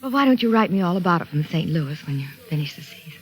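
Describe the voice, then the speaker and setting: Actress as coy young woman, lively swooping voice. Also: fairly low pitch, quick pace, music in the background, lightly acted. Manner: playful, coy. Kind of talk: 1940s radio drama acting.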